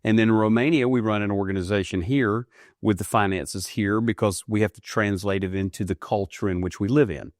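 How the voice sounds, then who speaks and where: A man in his fifties from the United States, answering interview questions earnestly, often rising in pitch for emphasis. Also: fairly even intonation, brisk, no echo, studio quality. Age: 50s. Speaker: guest, ministry leader. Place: studio.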